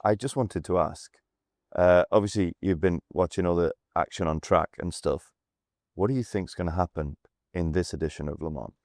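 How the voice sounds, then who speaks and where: A man's voice: sits low, moderate pace, a little mellow, no echo, clean studio sound. A man co-hosting a podcast interview, chatty and eager with his questions. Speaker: podcast co-host. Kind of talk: casual interview chat.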